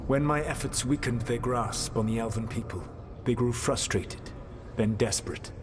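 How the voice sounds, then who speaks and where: An actor playing an ancient elven god in a video game, speaking in a grave, formal and measured way with a learned air. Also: male voice, medium pitch, background noise, lightly acted. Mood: grave regret. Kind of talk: dramatic video game dialogue.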